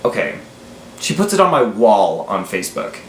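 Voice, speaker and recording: Casting: American male, 20s, lowish voice, animated casual storyteller, recorded in an echoey room. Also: moderate pace. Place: small room at home, indoors. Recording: roomy echo, faint background noise.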